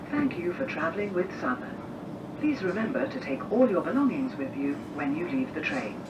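A woman's voice: medium pitch, lo-fi sound. A woman with a British accent making recorded announcements inside a train carriage. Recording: noisy train carriage, roomy, distant microphone.

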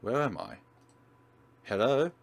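A man's voice: mid-range voice, huge pitch swings, slow pace, vocal fry, voice pushed up, exaggerated voice. A man voicing a confused, frightened teenage schoolgirl from a horror visual novel, in a questioning, startled manner. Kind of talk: visual novel character read-aloud.

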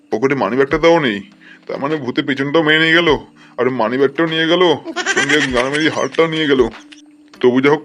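A man's voice: mid-range voice, a bit bright, natural voice. A man playing a flustered, cowardly boss in a comic cartoon, rambling and stammering. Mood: bewildered. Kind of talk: amateur comic cartoon dub. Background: faint background music.

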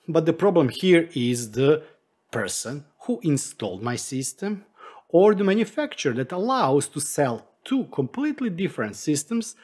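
Slightly husky man, lively explanatory delivery. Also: a little high. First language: not English. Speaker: tech YouTube host. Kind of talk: tutorial narration to camera.

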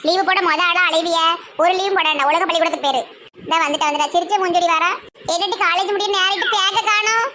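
Lady doing naggy superstitious village mum, very high voice, exclaims a lot, southern tamil nadu accent, noisy audio. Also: fairly even intonation, fast talker, bright, forceful tone. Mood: scolding. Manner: nagging, exclamatory, lamenting. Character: superstitious, scolding, fretful village mother. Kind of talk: comic family skit.

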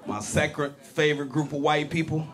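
Forties guy from berkeley doing standup, high voice, crowd cracking up behind him, live club sound. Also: moderate pace. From Berkeley, California. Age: 40s. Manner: loud, animated, profane punchlines with shouted peaks. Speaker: stand-up comedian. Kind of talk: stand-up comedy set. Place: comedy club stage. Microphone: handheld mic. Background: audience laughter.

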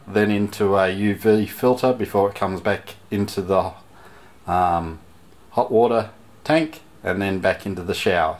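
A middle-aged man giving a casual walkthrough to camera, often pausing mid-sentence to find his words. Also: on the low side. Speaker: DIY bus-conversion vlogger. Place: outdoors in a stripped vehicle body. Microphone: handheld camera, operator behind it.

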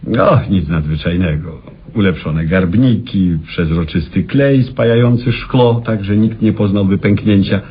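An actor playing an eccentric, obsessive male inventor in a radio play, telling his story in a theatrical, halting way with dramatic pauses. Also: sits low, husky, exaggerated voice. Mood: reminiscing. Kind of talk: Polish radio play acting. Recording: dull old radio drama recording.

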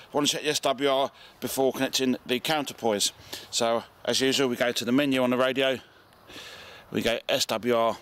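A man's voice: mid-range voice, fairly even intonation, brisk, fairly bright tone. A middle-aged man with a British accent giving a casual hands-on demonstration, recorded outdoors in a garden. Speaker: amateur radio video presenter.

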